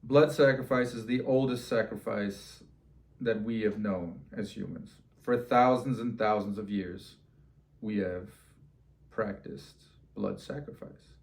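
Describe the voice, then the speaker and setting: A man's voice: on the low side, slow, creaky. A man voicing his witchy female healer friend in a spoken story, knowing, firm and emphatically instructive.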